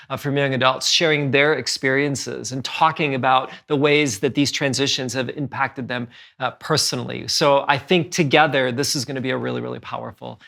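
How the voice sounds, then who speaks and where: A man's voice: medium pitch, vocal fry, fairly bright tone. A man hosting a podcast in a conversational style, speaking in an earnest, encouraging manner. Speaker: podcast host.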